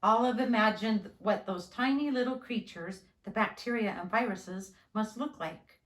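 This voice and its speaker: A woman narrates a children's storybook aloud as a school nurse would at story time. Her voice is on the high side, in a roomy space with plenty of echo. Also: moderate pace. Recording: roomy, echoing indoor sound.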